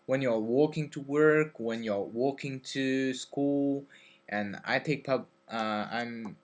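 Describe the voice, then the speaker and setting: Young guy w rambling to his webcam in his bedroom, lots of uhs, mid pitch. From Belgium. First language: French. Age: young adult. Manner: hesitant, many fillers and restarts, gestures with hands. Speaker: vlogger. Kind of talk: casual talk to camera about learning languages. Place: dim bedroom, indoors. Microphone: webcam at desk.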